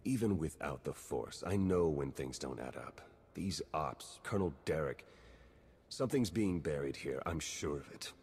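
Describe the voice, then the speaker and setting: Wry, deep-voiced spy. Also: male voice, expressive, moderate pace, darkish tone, husky, full character voice. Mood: conspiratorial. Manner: dry, wry, understated. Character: wry, cynical male spy, determined and rule-bending. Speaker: intelligence agent character. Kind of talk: video game cutscene acting. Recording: long hall reverb, noisy ambience behind.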